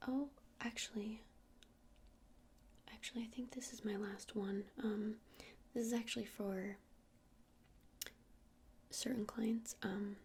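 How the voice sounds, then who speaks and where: A woman whispering breathily and softly in an unhurried, hesitant ASMR roleplay as a gentle crystal shop witch, close to the microphone. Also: on the high side, steady tone, breathy edge. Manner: hesitant, unhurried, soft-spoken. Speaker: ASMR performer playing a witch shopkeeper.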